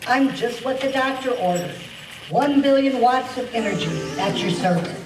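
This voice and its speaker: A fairly high voice over loud music, a woman playing a confident, jokey burst of living energy. It sounds like an amateur radio play in an echoey space. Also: lively intonation, normal speed, natural voice. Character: self-assured, jokey living gigawatt of energy. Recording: echoey stage, distant mic, loud music behind.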